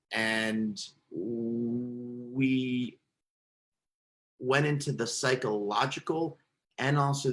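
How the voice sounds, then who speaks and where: A man's voice: medium pitch, pushed and bright. A man who stutters, a podcast guest telling his own story in a reflective, conversational way, with repeated words and restarts. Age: adult.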